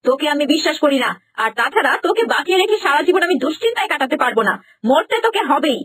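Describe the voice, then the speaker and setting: A woman's voice: sits high, animated, rapid-fire, a bit bright. A woman voicing a cruel, scheming stepmother in a cartoon fairy tale, scolding and muttering to herself. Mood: vicious, menacing.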